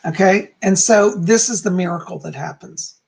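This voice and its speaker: A calm, soft-spoken man who is hoarse and losing his voice, teaching on a livestream and reading aloud from a book. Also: sits high, moderate pace. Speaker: psychic teaching about folk magic.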